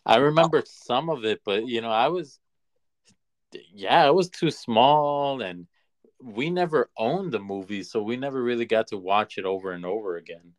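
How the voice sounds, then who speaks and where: A man's voice: mid-range voice, animated, normal speed. A man co-hosting a podcast, chatting casually in an agreeable, hesitant way as he thinks aloud. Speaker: podcast co-host. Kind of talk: casual chat.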